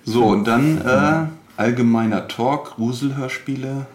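A man's voice: mid-range voice, measured pace. A man hosting a casual on-location interview in an office. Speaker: podcast host interviewing staff. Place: indoors in an office. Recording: echoey room, clear background noise.

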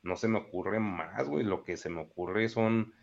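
A man's voice: on the low side, normal speed, creaky, lo-fi sound, a crowd in the background. A man in his thirties with a Mexican accent, talking in a rambling, slangy casual chat. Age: 30s. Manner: slangy, rambling, peppers speech with "güey".

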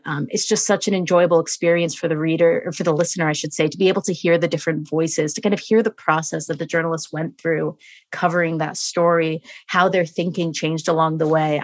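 A woman's voice: low voice, steady tone, quick pace, no echo, clean studio sound. A woman speaking as a panel guest, enthusiastic and loose, with lots of fillers. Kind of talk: panel discussion.

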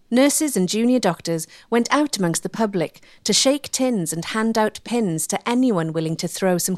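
A woman's voice: medium pitch, dry recording, clean studio sound. A woman reading scripted documentary narration as the narrator.

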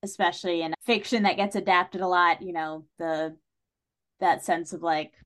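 A woman's voice: on the low side, flat delivery, normal speed. Casual chatty woman, podcast guest. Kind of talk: casual chat.

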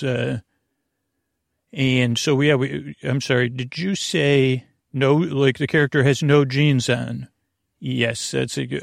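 Creaky-voiced man rambling gently. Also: medium pitch, dry recording, studio quality. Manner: meandering, tangential, halting; soothing, gentle. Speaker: podcast host. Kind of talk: rambling bedtime podcast monologue.

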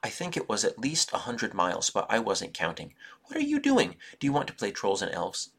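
A restless little boy whines in a high, stretched-out voice, eager and bored at once, as a man puts him on. The sound underneath stays gravelly and husky. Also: sing-song, fairly bright tone, full character voice. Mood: excited. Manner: high, drawn-out whining.

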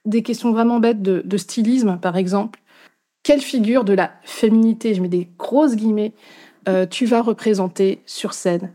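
A woman's voice: medium pitch, normal speed, warm tone. A woman musician being interviewed, speaking thoughtfully and hesitantly with many fillers. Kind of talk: casual interview talk.

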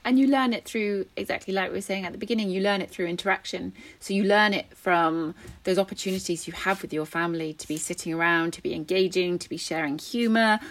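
A woman's voice: on the low side, fast talker, few pauses. A woman in her thirties, a speech and language therapist, explaining things earnestly as a guest in a podcast interview.

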